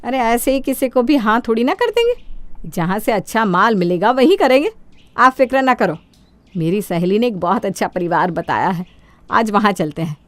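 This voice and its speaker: A woman's voice: mid-range voice, sing-song, moderate pace, no room sound, lightly acted. A woman voicing a greedy, sly middle-aged mother-in-law who feigns modesty in a sugary, wheedling way for a Hindi cartoon story. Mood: scheming, brisk.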